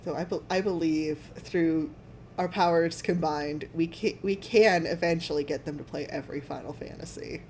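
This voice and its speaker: Low-pitched woman gaming. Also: normal speed, a little mellow, slight background noise. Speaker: guest friend on game stream. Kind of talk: casual chat while gaming.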